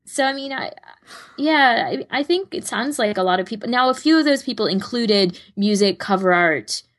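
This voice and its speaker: A woman's voice: a little high, lively intonation, moderate pace. A woman co-hosting a podcast, talking things over in a casual, conversational way. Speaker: podcast co-host. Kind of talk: casual discussion.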